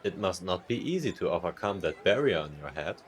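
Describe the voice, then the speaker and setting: A man's voice: deep voice, very animated, a little fast, noisy background. A man playing a kindly, teasing apparition of a dead friend in an audio drama, with a playful, musing manner. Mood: reassuring.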